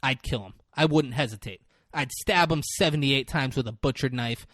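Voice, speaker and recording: A man voicing a scornful, forceful female prosecutor who berates and goads in a courtroom film retelling. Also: on the high side, brisk, creaky, dry recording, studio quality, pitched above the performer's own voice. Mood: goading. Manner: aggressive, berating.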